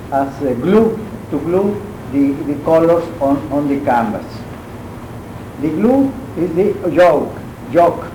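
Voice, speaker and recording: A man with a Mexican accent and Spanish as his first language, giving an art lecture in English, halting and searching for words. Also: a little high. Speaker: guest painter lecturing. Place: university lecture room. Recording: echoey classroom with noise.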